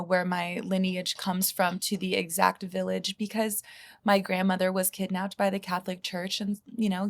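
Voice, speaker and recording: A woman guest on a podcast speaks in an earnest, reflective way. Her voice carries a steady gravelly fry, and her pitch stays within a narrow band. She keeps returning to "you know" as she works through her points. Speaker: guest, healer and psychedelic guide. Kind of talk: podcast conversation.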